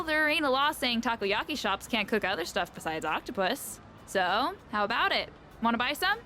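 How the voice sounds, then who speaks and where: Bright, high, brash stall vendor. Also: female voice. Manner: slangy, breezy sales patter. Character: brash, cheeky street food stall vendor. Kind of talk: video game voice acting.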